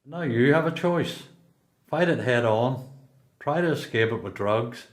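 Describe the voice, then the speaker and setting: This is a seasoned prisoner in a radio play acted by a man, with a Northern Irish accent. He is plain-spoken and reflective, weighed down by regret. His mid-pitched voice sits in an echoey room. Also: animated, unhurried, close to an everyday voice. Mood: firm, urging. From Belfast, Northern Ireland. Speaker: amateur performer in a radio play. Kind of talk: radio play dialogue and monologue.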